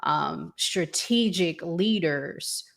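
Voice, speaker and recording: A woman hosting a casual discussion, deliberate, pausing mid-thought and posing rhetorical questions. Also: sits low, vocal fry, a bit bright. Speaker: talk show host.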